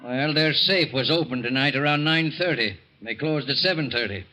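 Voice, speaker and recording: An actor playing a sceptical, curt police captain questioning people in a 1940s radio drama. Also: male voice, medium pitch, normal speed, bright, forceful tone, close to an everyday voice. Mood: blunt, probing. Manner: curt, interrogating. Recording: muffled old radio recording.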